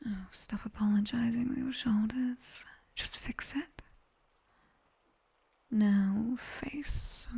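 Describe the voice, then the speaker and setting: A British woman playing a sharp-tongued nightmare demoness tutor in an ASMR roleplay, mostly whispering slow, commanding, dryly teasing instructions. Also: on the high side, vocal fry, slightly rough, heavily acted. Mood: strict, coaxing. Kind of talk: ASMR roleplay, guided body scan.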